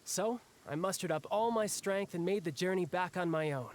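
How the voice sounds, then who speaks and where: An actor playing a breezy, cocky young warrior in an anime video game, with a casual, boyish, wry manner. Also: male voice, high voice, moderate pace, lightly acted. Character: breezy, cocky young warrior man, restless and battle-hungry.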